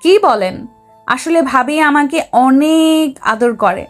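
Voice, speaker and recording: Actress as watchful young woman on a security team, high voice, audio story. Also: slow, faint music behind. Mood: friendly.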